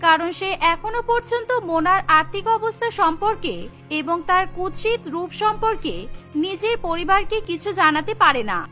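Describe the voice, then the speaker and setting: Very high, bright woman storyteller. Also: normal speed, faint music behind. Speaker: story narrator. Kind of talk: scripted storybook narration for cartoon.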